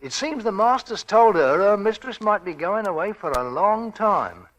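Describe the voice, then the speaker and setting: An actor playing a deferential, chatty young Victorian constable in 1940s radio drama style, eager and folksy. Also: male voice, high-pitched, animated, moderate pace, fairly bright tone.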